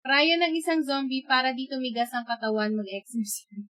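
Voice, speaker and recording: High-pitched Filipino woman livestreaming. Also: expressive, a little fast, fairly bright tone. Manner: chatty, code-switching reactions. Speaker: game livestreamer. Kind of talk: casual live game commentary. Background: video game music and dialogue.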